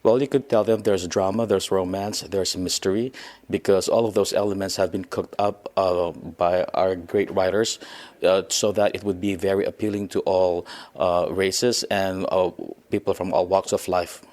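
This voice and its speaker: Male actor, low register, formal phrasing with frequent halts, answering an interviewer. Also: level tone, a little mellow. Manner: halting, formal wording.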